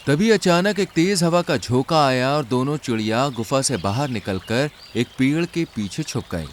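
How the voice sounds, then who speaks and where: A man's voice: medium pitch, lively intonation, normal speed, a little hiss or hum. A man narrating a scripted children's story.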